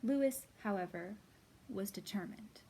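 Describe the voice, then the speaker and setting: A woman hosting storytime for young children, reading and talking to them in simple, expressive phrases. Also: mid-range voice, measured pace, a little hiss or hum, poor audio. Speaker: children's library storytime host. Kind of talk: storytime read-aloud and singalong for young children.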